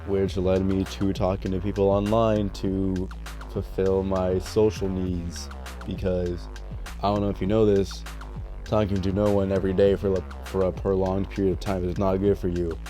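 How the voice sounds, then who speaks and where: A young man with a cold talks to his mic in a loose, meandering storytime ramble, cursing and mocking himself. His voice sits a bit low and sounds stuffy and nasal. Faint music plays quietly underneath. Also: level tone, normal speed. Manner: rambling, profane, self-deprecating storytelling. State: sick, nasal. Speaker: storytime vlogger. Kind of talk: casual storytime vlog. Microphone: dedicated voice mic.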